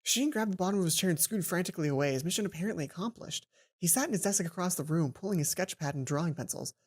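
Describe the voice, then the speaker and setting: A woman reading a visual novel game aloud as a let's play host, playful and expressive, often stumbling and rephrasing. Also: on the low side, fast, breathy edge.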